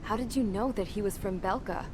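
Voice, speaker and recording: A woman's voice: mid-range voice, a little fast, background noise, close to an everyday voice. A woman playing a tough, pragmatic soldier with a blunt, sardonic manner in scripted game drama. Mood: wry, matter-of-fact. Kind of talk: scripted dramatic dialogue and narration.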